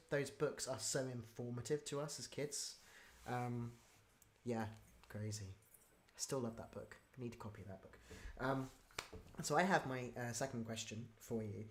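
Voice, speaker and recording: Male podcast-style interviewer, average pitch, casual and informal delivery. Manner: informal, peppers talk with "like". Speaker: interviewer, host. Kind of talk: casual chat.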